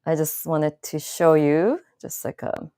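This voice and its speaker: Slow, low-voiced woman talking to viewers. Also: dark tone, clear, resonant tone, no room sound, clean studio sound. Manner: slow, thoughtful, with many fillers and restarts. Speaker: channel host. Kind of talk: casual live-stream talk to viewers.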